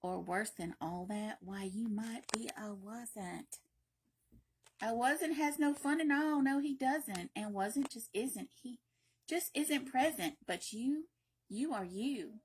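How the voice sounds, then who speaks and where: High-voiced woman reading a children's story aloud. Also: slow, a little hiss or hum. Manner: animated, emphatic, playful. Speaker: library read-aloud reader. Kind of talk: expressive children's picture-book read-aloud with brief asides.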